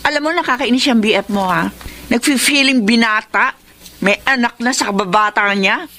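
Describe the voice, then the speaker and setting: A woman's voice: fairly high pitch, moderate pace, sharp tone. A woman acting a blunt, irritated young nursing student in a Filipino radio drama, with a brash manner. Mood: annoyed. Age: young adult.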